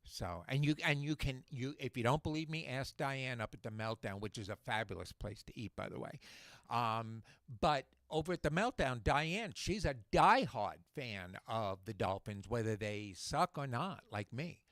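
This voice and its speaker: Male radio co-host with a Long Island accent, mid-pitched, chatty and opinionated, dry studio sound. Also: expressive, fairly bright tone, dry recording. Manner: chatty, opinionated, quick short agreements. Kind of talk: casual radio talk.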